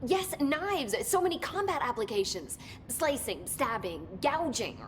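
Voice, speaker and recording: An actress playing an eager, excitable young research scientist in a video game, with an enthusiastic, technical way of talking. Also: female voice, high voice, a little slow, noisy background. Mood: excited.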